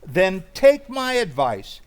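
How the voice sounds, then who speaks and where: An actor playing a bossy, meddling buddy in an old-time radio comedy, talking in an emphatic, goading way. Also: male voice, high voice, very animated, slow pace, smooth, heavily acted. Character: bossy, meddling male buddy egging on his friend.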